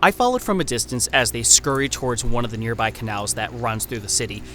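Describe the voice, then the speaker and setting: Man acting a bright-voiced, wary scholar reporting by letter. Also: medium pitch, slight background noise, close to an everyday voice. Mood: intent, troubled. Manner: formal, precise, measured diction. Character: wary young male anthropologist reporting by letter, 1930s. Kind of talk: scripted horror audio drama, letter narration.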